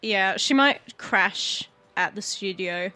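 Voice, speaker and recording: A woman's voice: mid-range voice, takes it slowly, bright, forceful tone. A woman playing a tabletop game, casually and informally describing what her character does.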